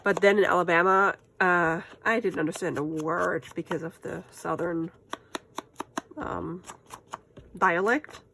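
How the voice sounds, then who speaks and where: A woman's voice: on the low side, steady tone, unhurried, noisy background. A woman with a German accent, German being her first language, chatting casually and laughing at herself while she makes crafts at home. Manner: chatty and self-amused, laughs often, talks to herself while working. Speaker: crafting channel host.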